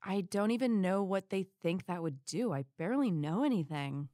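A woman's voice: lowish voice, expressive, moderate pace, dark tone, no echo, studio quality, natural voice. A woman playing a classy, guarded, sardonic witness in an audio drama. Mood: defensive.

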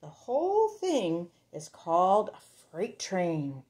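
A woman storyteller reads a picture book to little children at an unhurried pace. Her pitch moves around in a lively, sing-song way. She talks straight to her young listeners in a playful manner.

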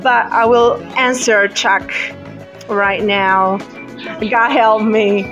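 A woman's voice: medium pitch, fairly even intonation, drawn-out words, lo-fi sound, over music. A woman with a Portuguese accent, Portuguese as her first language, hosting a live podcast chat with many hesitant fillers. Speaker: podcast host.

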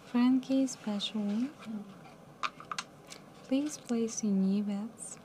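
A young woman dealing cards at a live casino table, calling results in a soft, mumbly voice that sinks toward a whisper. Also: on the high side, drawn-out words, noisy background. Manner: soft, mumbly, drops to near whisper at round ends. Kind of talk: calling card game rounds.

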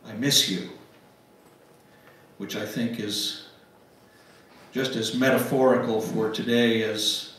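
A man in his seventies giving a reflective church address in a measured way, with long pauses. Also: mid-range voice, fairly even intonation, a bit bright. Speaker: guest giving closing words. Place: church sanctuary, at pulpit. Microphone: gooseneck pulpit mic, picked up by far camera. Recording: very echoey church, distant mic, background noise.

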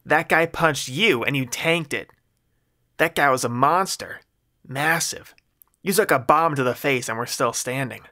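A man's voice: mid-range voice, unhurried, pushed and bright, voice pushed up. A man voicing a tough, furious young woman who snaps with blunt scorn. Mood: scornful. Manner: snapping, scornful.

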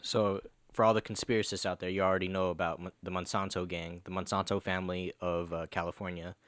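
A young man co-hosting a podcast from a living room, chatting in a relaxed, jokey, slangy way on a boom mic. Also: quick pace, no room sound. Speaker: podcast co-host. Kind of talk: casual chat. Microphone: boom mic on arm.

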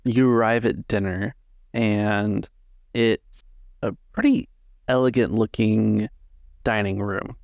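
A man working as game master, improvising narration for a tabletop roleplay with halting, measured phrasing. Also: fairly low pitch, fairly even intonation, creaky, darkish tone, no echo. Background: music.

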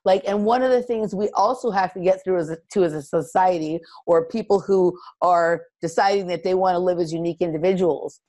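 Woman w a really deep low voice, mellow, chatting thoughtfully, lots of likes. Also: brisk, hardly any pauses. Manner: candid and reflective, with many likes and fillers. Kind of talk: conversational discussion.

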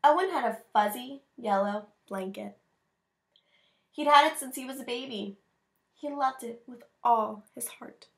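A woman's voice: a little high, measured pace, creaky, fairly bright tone. An American woman in her thirties reading a picture book aloud to children, expressively, with chatty and simply worded asides, recorded in a room at home. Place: small room at home with bookshelves.

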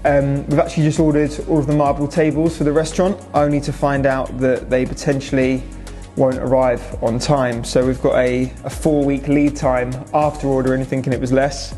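Young guy from england walking thru a reno, pretty flat voice, lots of so and obviously, bit of music behind. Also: mid-range voice, moderate pace, few pauses. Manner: casual, matter-of-fact, lots of "so" and "obviously". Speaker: restaurant owner. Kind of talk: vlog update on a restaurant renovation. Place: restaurant under renovation, indoors.

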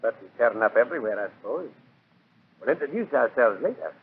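An actor playing a suave, sinister island ruler in a 1940s radio drama, smugly polite and urbane. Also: male voice, medium pitch, sing-song, fast, husky. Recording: tinny, faintly noisy 1940s radio recording.

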